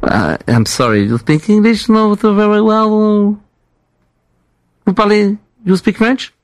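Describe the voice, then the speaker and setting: A man's voice: high voice, expressive, takes it slowly, dry recording, pitched above the performer's own voice. A man voicing a bumbling French male tourist who stammers through broken English on a prank phone call. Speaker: prank caller.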